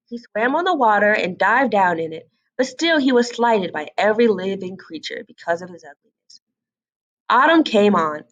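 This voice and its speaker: A woman reading a fairy tale aloud as an expressive storybook narrator. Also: mid-range voice, highs cut off.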